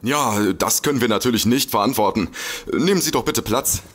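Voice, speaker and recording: An actor playing an easygoing, polite and slightly bumbling detective's sidekick in a comedic audio drama. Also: male voice, medium pitch, fairly quick, lightly acted. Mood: polite, helpful.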